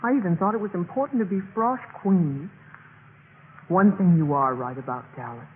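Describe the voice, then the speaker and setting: An actress playing an earnest, principled young sorority pledge in a 1950s radio drama, speaking emphatically. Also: female voice, lowish voice, moderate pace, close to an everyday voice. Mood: resolute, earnest. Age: young adult. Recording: muffled, noisy 1950s radio recording, reverberant.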